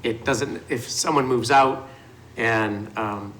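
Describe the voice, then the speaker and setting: A man's voice: medium pitch, slow pace, a bit bright, slight background noise. A man testifying at a city committee meeting, explaining housing project details in a patient, restarting way. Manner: explanatory, with frequent restarts and fillers. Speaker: housing developer presenting to a committee.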